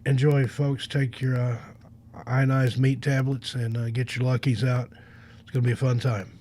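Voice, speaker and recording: Mellow, off-the-cuff man chatting on a livestream. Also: medium pitch, flat delivery, moderate pace, slight background noise. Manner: rambling, off-the-cuff. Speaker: radio host. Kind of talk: casual livestream chat.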